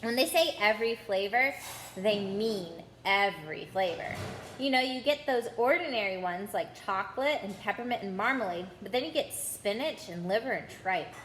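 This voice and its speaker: A woman reading aloud, voicing a blunt young boy wizard in a slightly lowered voice. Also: animated, normal speed, a bit bright, reverberant, a little hiss or hum, lightly acted, poor audio.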